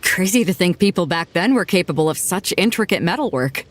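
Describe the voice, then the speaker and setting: A woman's voice: lowish voice, animated, brisk, a little hiss or hum, lightly acted. A woman voicing a bold, generous female ship captain who talks casually and laughs easily, in a game cutscene.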